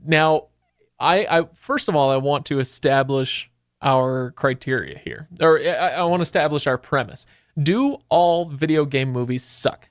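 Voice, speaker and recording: A man hosts a relaxed panel chat in a medium-pitched voice. He is playful and teasing, slipping into mock-formal phrasing. The sound is close and very dry. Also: dry recording. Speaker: podcast host. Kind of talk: casual, jokey panel chat.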